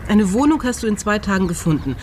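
An actress playing a practical, encouraging friend with a wry streak in a radio crime drama, speaking in an animated, conversational way. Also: female voice, medium pitch, fast talker, slight background noise, natural voice.

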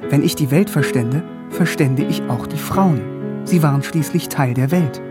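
A man's voice: on the high side, unhurried, close to an everyday voice. A man playing a wry, bookish young man who narrates his youth in a radio drama, articulate and self-ironic. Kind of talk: scripted first-person radio drama narration. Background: music.

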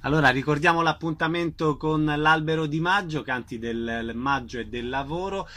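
A man's voice: fairly high pitch, bright, forceful tone. A man hosting a radio programme, interviewing guests and announcing events.

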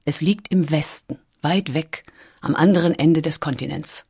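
A woman reading a scripted documentary story with measured, deliberate phrasing. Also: deep voice, darkish tone, husky. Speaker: audiobook narrator.

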